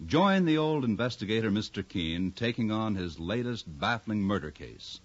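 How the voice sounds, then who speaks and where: A man with an American accent doing polished, formal, emphatic scripted announcing in 1950s radio style. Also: lowish voice. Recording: dull old radio recording.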